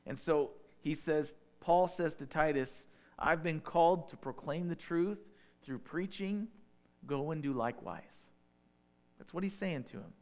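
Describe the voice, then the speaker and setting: A man in his thirties with a Northern Illinois accent, preaching a sermon in a relaxed, conversational way with folksy asides. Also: a little high, a little slow. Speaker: pastor.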